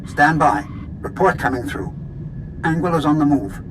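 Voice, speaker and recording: Man acting composed, higher-voiced formal advisor. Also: animated, normal speed, noisy background, lightly acted. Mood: businesslike. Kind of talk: video game cutscene acting.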